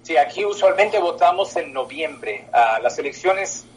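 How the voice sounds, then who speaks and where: A man in his twenties whose first language is Portuguese, answering interview questions in halting speech with many pauses. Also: a little high, lively intonation, breathy edge, rough recording. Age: 20s. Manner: halting, with many filler sounds. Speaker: political candidate. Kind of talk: interview by phone.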